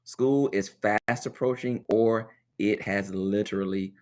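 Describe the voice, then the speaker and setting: A man with a raspy, sinus-hoarse voice hosts an education webcast, talking straight to viewers and stretching out key words for emphasis. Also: fairly low pitch, steady tone, a little slow, a little mellow. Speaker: education webcast host and consultant. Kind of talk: casual webcast talk.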